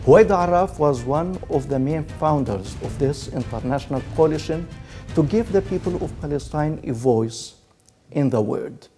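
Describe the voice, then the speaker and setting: A man's voice: on the high side, animated, normal speed, over music. A man hosting a broadcast programme, speaking in a formal interviewing style.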